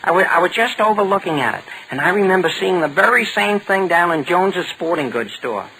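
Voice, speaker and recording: Brash, high-pitched American teenage pal. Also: male voice, lively intonation, a little fast, pushed and bright, heavily acted, low-quality recording. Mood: conspiratorial. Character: brash, suspicious American teenage boy, loyal scheming pal. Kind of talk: 1940s radio comedy acting. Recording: hissy vintage 1940 radio broadcast.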